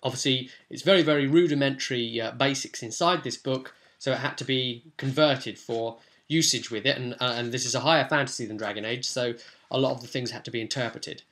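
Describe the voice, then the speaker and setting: Male gaming vlogger, bright forceful voice, informal walkthrough commentary, chatty and animated in his asides. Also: medium pitch, fairly quick. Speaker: tabletop gaming vlogger, game master.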